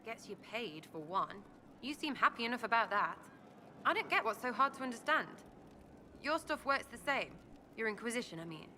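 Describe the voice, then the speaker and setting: An actress playing a brash, irreverent young streetwise rebel in a video game, with a British accent and blunt, cheeky, choppy phrasing. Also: female voice, a little high, sing-song, normal speed, bright, forceful tone. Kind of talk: video game dialogue acting. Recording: clearly noisy ambient background.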